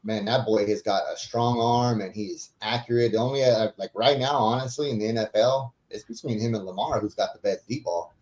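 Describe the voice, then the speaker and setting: A man's voice: on the low side, brisk, hardly any pauses, darkish tone, low-quality recording. A man calling in to a sports show, talking with enthusiasm and emphasis. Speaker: caller. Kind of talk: casual sports talk.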